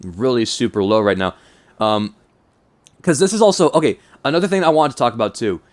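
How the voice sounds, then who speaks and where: A man's voice: mid-range voice, big pitch swings, a little fast. A man of twenty-two with a mild cold and stuffed-up nose, talking casually and self-consciously in a hesitant, rambling vlog. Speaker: YouTuber.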